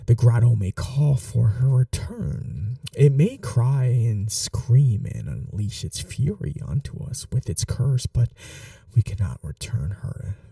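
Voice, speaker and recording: A man playing a scheming young prince in an audio roleplay, speaking formally in a hushed, measured, imperious way. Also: medium pitch, creaky, dry recording, distant mic, exaggerated voice. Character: scheming young prince, commanding yet tender to his bride. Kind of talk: dramatic ASMR audio roleplay.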